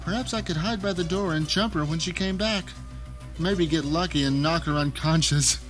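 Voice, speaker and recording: Blind male captive played by an actor, high voice, theatrical first-person radio drama narration, vengeful and intense, music underneath. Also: normal speed, a bit bright, natural voice, rough recording. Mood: tense, determined. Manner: intense, theatrical, emphatic delivery. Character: blind man trapped at home, bitter and vengeful.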